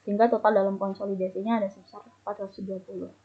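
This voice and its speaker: A woman's voice: medium pitch, level tone, racing, husky. A young adult woman presents as a student, explaining slides step by step and stumbling a little over figures. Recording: muffled, phone-like narrow band.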